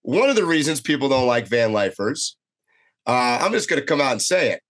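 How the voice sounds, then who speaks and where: A man's voice: mid-range voice, a little fast. A man co-hosting a casual podcast while getting over a cold, opinionated and full of fillers. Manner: animated, opinionated, many fillers. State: has a cold. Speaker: podcast co-host. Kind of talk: casual podcast chat over video call.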